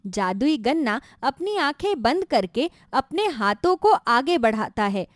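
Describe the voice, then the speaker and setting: A woman's voice: high voice, sing-song, measured pace, no echo, clean studio sound. A woman narrating a scripted children's moral story as a storyteller.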